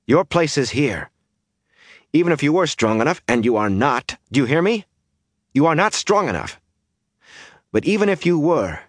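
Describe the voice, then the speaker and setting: A man's voice: medium pitch, no room sound, studio quality, pitched above the performer's own voice, lightly acted. A man voicing a fiery, commanding young woman in an audiobook, speaking firmly and urgently. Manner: firm, forceful, commanding. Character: fiery, urgent young woman scolding her stubborn companion.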